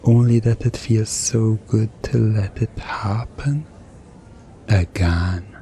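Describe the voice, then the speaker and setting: A man playing a mischievous, smugly commanding hypnotist in an ASMR roleplay, soothing and teasing with deliberate pauses. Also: on the low side, mellow, slight background noise.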